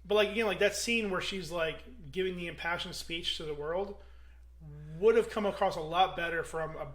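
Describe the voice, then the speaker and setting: A man's voice: high-pitched, normal speed, fairly bright tone. A man co-hosting a casual podcast, critiquing a movie with blunt opinions and frequent stumbling restarts. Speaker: podcast co-host. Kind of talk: casual movie review chat.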